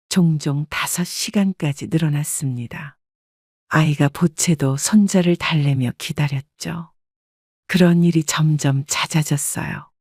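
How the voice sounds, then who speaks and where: A woman narrating her own family story in the first person, calm and reflective. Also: sits low, no room sound, studio quality.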